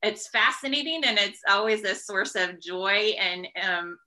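A woman talks as a guest educator in an interview. Her voice is bright and forward at a medium pitch. She explains in a conversational way, often checking in with the listener. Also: measured pace.

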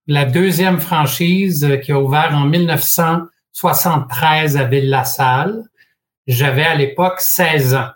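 Québécois man in his sixties, measured. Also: fairly high pitch. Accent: Québécois French. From Quebec. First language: French. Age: 60s. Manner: measured, with frequent hesitations and light humor. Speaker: guest, franchise expert. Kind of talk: relaxed storytelling interview.

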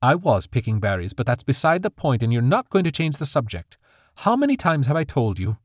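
A man's voice: mid-range voice, big pitch swings, fairly quick, no room sound, clean studio sound, voice pushed up. A man voicing a strict fairy mother, speaking in a stern, emphatic, scolding way.

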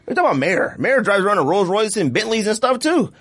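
Animated man chatting, slangy podcast host. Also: sits high, fast. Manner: animated, slangy, repeats himself for emphasis. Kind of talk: casual chat, friendly debate.

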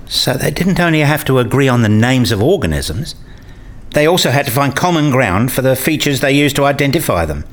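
A man's voice: mid-range voice, lively intonation, a little fast, lightly acted. A man playing a curious, skeptical interviewer in a radio play, speaking in an inquisitive, articulate way. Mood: curious, probing. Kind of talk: scripted questioning dialogue in a radio play.

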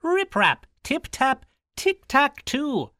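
A man voicing a merry old male leprechaun shoemaker in an Irish accent, chanting in a high sing-song. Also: wildly swooping pitch, takes it slowly, bright, forceful tone, no room sound, clean studio sound, heavily acted.

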